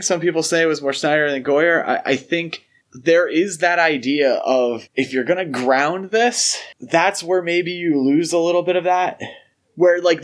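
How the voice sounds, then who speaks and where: Casual male podcast co-host talking film. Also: on the high side.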